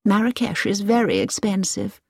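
A woman's voice: mid-range voice, very animated, moderate pace, husky, dry recording, studio quality, voice pushed up. A woman putting on a prim, disappointed English spinster. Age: middle-aged.

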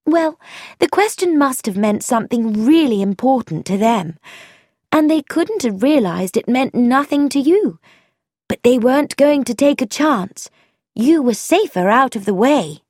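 A woman reads as an eager young woman sleuth who talks fast and emphatically. Her voice sits high and leaps about with excitement, and the sound is close and dry. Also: no echo. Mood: emphatic.

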